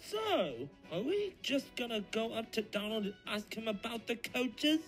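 A woman as an eager animated young sidekick. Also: on the high side, a little slow, soft background music. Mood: doubtful. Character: eager young male diesel engine, naive sidekick.

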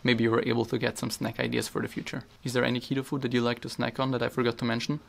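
A young man giving calm, matter-of-fact advice as a vlogger. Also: mid-range voice, very fast, vocal fry. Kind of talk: scripted voice-over tips. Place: outdoors by apartment blocks in winter.

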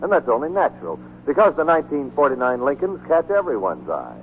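A man's voice: fairly high pitch, sing-song, brisk. A man reading a 1940s radio advertisement as announcer, polished, emphatic and grandiose. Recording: tinny, noisy 1940s radio broadcast.

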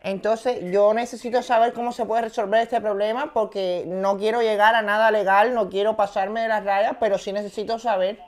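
A man's voice: sits high, steady tone, normal speed, few pauses, close to an everyday voice. Man putting on a brazen, drawling mother. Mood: complaining. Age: young adult.

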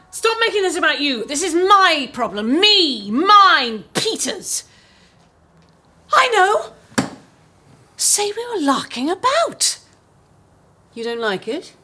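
A bossy, scheming woman in middle age, frazzled and urgent. Her voice is high, forceful and very changeable in pitch. She is clipped and sweary, as in an office comedy. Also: very animated, slow pace, pauses often, heavily acted. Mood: urgent, exasperated. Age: middle-aged. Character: frazzled, bossy, scheming middle-aged radio drama producer. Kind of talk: naturalistic screen comedy acting. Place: open-plan office.

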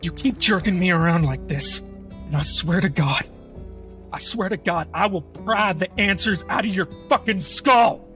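A man's voice: high-pitched, normal speed, bright, forceful tone, soft background music. Man playing an angry, menacing, foul-mouthed doctor. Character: angry, menacing male doctor demanding answers.

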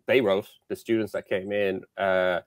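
A man's voice: sits low, flat delivery, moderate pace, clean tone, no echo, clean studio sound. A young Irish man explaining engineering work as a guest on a video call, hesitant with many fillers. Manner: hesitant, lots of fillers and restarts. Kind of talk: casual interview chat. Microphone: headset on video call.

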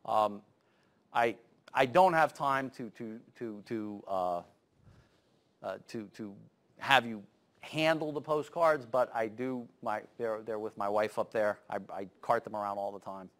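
Middle-aged man wrapping up a lecture in a classroom; repeats words as he goes, rushes his asides. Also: lowish voice, a bit bright. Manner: stammering restarts, rapid asides. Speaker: guest lecturer. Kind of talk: lecture wrap-up. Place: university lecture room.

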